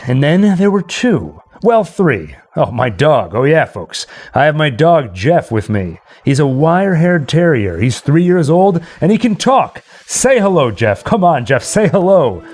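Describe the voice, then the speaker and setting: A man's voice: on the high side, sing-song, measured pace, darkish tone. A man playing a jaunty, skeptical radio DJ who narrates a haunted house visit in a chatty, wry radio-play style. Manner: chatty, wry, addressing the listeners.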